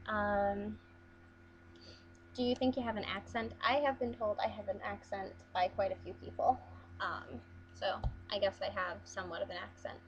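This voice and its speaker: A young woman from Las Vegas talks casually to her camera, hesitant and full of small restarts. Her voice sits at an ordinary pitch. The recording is a bit muffled, with a faint hum underneath. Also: fairly even intonation, moderate pace. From Las Vegas, USA. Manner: chatty, hesitant, many ums and restarts. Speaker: vlogger. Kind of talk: casual accent challenge video.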